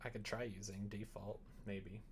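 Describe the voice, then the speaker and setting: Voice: sits low, flat delivery, moderate pace, slight background noise. A streamer giving casual live coding commentary, thinking aloud, muttering to themselves and breaking into playful exclamations. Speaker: streamer making a visual novel.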